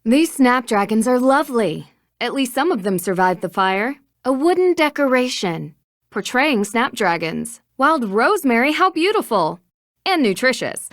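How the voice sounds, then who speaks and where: A curious young woman detective from a video game. Her voice sits a little high and moves with lively intonation. She sounds plucky and inquisitive, recorded dry. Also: dry recording. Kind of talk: video game voice acting, scripted dialogue and narration.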